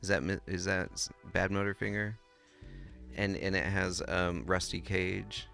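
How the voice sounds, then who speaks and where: A man's voice: deep voice, steady tone, a little slow, vocal fry, pushed and bright, faint music behind. A man in his forties from Washington State, rambling about his musical past and searching for words. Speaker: guitarist, interview guest. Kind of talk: casual interview chat.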